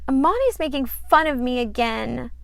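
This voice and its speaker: A woman's voice: high voice, lively intonation, normal speed, gravelly, voice pushed up. A woman voicing an easily upset little girl in a storybook, whining and snappy. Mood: whiny, indignant.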